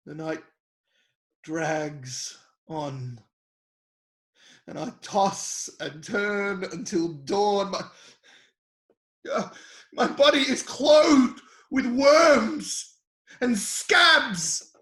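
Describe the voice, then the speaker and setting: An actor playing an anguished sufferer who pleads bitterly with God and friends in an epic, halting, impassioned dramatic scripture reading. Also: expressive. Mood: weary misery. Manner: impassioned, halting, swinging from low murmurs to anguished shouts. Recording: roomy, echoing space.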